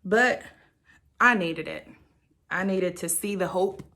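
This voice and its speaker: Casual woman vlogger with a gravelly edge. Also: fairly low pitch, takes it slowly, sharp tone. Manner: informal, chatty, candid. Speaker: vlogger sharing a personal video diary. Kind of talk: casual confessional chat to viewers.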